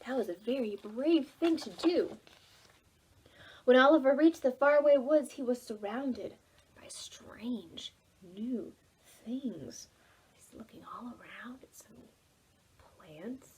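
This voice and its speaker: A woman reading a children's storybook aloud for preschoolers, dramatic and expressive, involving the young listeners. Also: fairly high pitch, slow, a little hiss or hum, poor audio. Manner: animated, dramatic, asks listeners questions. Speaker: children's library storytime reader.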